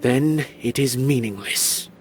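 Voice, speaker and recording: A man's voice: mid-range voice, slow pace, close to an everyday voice. An actor voicing a pompous, verbose male art connoisseur with grand, formal phrasing in a video game. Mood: earnest. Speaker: game character.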